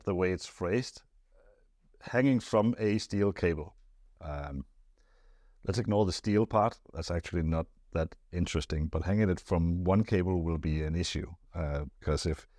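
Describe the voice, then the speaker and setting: A man giving a casual technical explanation as an expert, phrasing things thoughtfully and hesitantly with frequent pauses and repeated words. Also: low voice, darkish tone, dry recording, clean studio sound. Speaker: loudspeaker expert answering viewer questions.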